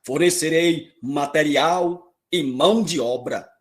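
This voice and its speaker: A man playing a stately, conciliatory king who addresses his council in a measured, formal way in an epic audio drama. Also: on the high side.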